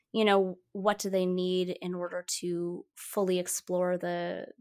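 A woman whose first language is Mandarin, a theatre artist speaking as an interview guest, thoughtful and searching, with frequent fillers and repeated words. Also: fairly low pitch. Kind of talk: reflective conversational interview. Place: Vancouver, Canada.

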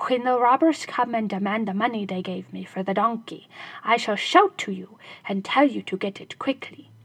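A clever little shoemaker, sly and pleading by turns, as a woman reading a fairy tale puts him on. His voice is gravelly and husky, close and dry. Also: medium pitch, normal speed, a bit bright, no echo. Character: crafty little male shoemaker, clever and spirited.

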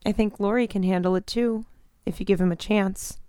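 An actress playing a gentle, sheltered teenage girl in an audio drama, earnest, polite and sincere. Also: medium pitch, steady tone, a little fast, clear, resonant tone, no room sound, studio quality, close to an everyday voice. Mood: reassuring, firm. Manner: soft-hearted, sincere, polite.

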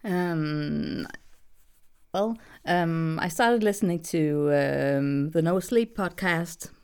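A woman's voice: low-pitched, drawn-out words, darkish tone, clear, resonant tone. A young adult woman with a Danish accent, a voice actress talking as a guest, hesitant with many fillers and frequent laughs. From Denmark. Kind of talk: casual interview chat.

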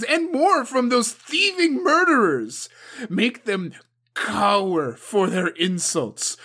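A man voicing a young woman warrior who spits and shouts her rage in a high, strained voice. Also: full character voice. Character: furious young woman warrior, seething and scornful.

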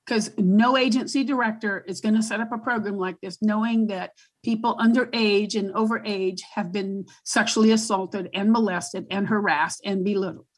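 A woman with a booming voice speaking as a guest advocate in a panel discussion, emphatic and repeating herself as she works out her thoughts. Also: fairly even intonation, moderate pace, few pauses, slightly rough.

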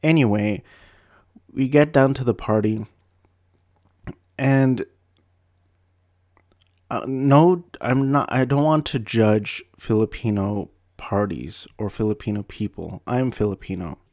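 A man's voice: on the low side, slow, pauses often, darkish tone, dry recording, clean studio sound. A young man telling a personal story in a casual, self-deprecating, rambling way with frequent restarts. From United States.

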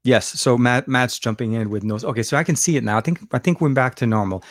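A young man from Lebanon hosting a casual livestream from home. He rambles and restarts his sentences often, and his voice sits at an ordinary middle pitch. The sound is dry and close, with little room reflection. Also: no echo. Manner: rambling, halting, with frequent restarts and fillers. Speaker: livestream host.

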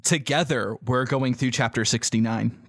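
A man's voice: medium pitch, steady tone, moderate pace, slightly rough, dry recording, studio quality. A man co-hosting a podcast in casual chat, enthusiastic and free with swearing. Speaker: podcast co-host.

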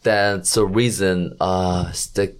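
A man talks in a fairly low voice, searching for words in English and often restarting his phrases. He explains things patiently in a relaxed podcast conversation. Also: clean tone. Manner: halting non-native English with restarts. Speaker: meetup organizer.

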